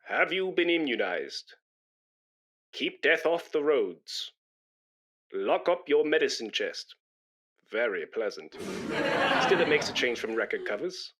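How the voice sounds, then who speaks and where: Guy acting pompous british bloke in a radio comedy, rambly n nervous, bright punchy voice, swoops up and down. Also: mid-range voice, big pitch swings, slow, long pauses, exaggerated voice. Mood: wry, musing. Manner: rambling, self-important, stammering when flustered. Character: pompous, nervous, chattering British man come to give blood. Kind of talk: comic radio play acting before an audience.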